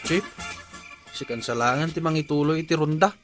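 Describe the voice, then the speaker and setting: A timid, superstitious night watchman, acted by a man for Ilocano radio comedy. He speaks in a high voice with an Ilocano accent, nervous and pleading toward those around him. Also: a little fast, faint music behind, lightly acted. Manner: nervous, deferential. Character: timid, superstitious junior village watchman, easily spooked.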